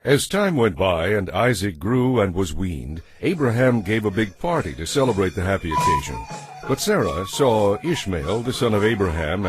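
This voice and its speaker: A man narrating a dramatized audio Bible as scripted, expressive, measured storytelling. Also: lowish voice. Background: faint music.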